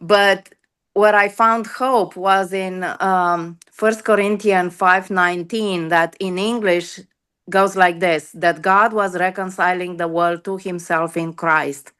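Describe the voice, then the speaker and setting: A woman's voice: fairly low pitch, steady tone, slow pace, fairly bright tone, clear, resonant tone. A woman, a chaplain, speaking up hesitantly on a video call and often repeating her words. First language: Romanian. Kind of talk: question from the audience on a video call.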